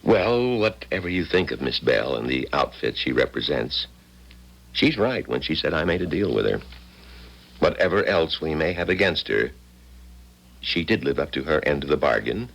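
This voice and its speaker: Very deep, gravelly old country doctor. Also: male voice, very animated, moderate pace, a bit bright, full character voice, poor audio. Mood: resigned, resolute. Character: crotchety, principled old male country doctor, resigned. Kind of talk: 1970s radio drama acting.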